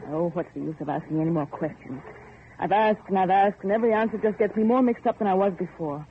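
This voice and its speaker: An actress playing an anxious, insistent young heiress in vintage radio horror, questioning with pleading, melodramatic urgency. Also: female voice, mid-range voice, quick pace, natural voice. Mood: puzzled, frustrated. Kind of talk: 1940s radio horror acting. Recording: noisy muffled old radio recording.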